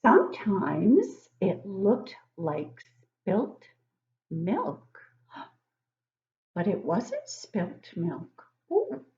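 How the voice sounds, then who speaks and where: An older woman reads a picture book aloud to young children like a teacher, expressively, pausing to ask them questions. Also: medium pitch, wildly swooping pitch, a little mellow, lo-fi sound. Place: living room at home, indoors.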